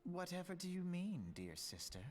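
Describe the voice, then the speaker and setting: A man's voice: sits high, big pitch swings, moderate pace, darkish tone, soft background music. An actor playing a poised, self-assured young nobleman in a fantasy game, speaking in a formal, lofty, rhetorical way. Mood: smug, measured. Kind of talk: scripted fantasy game dialogue.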